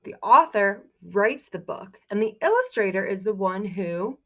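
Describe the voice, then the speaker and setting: Female children's read-aloud teacher. Animated intonation, mid-range voice, encouraging, asks the listeners questions. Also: mid-range voice, a little slow. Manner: expressive, encouraging, exclaims softly over pictures. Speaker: teacher reading a picture book aloud to young children.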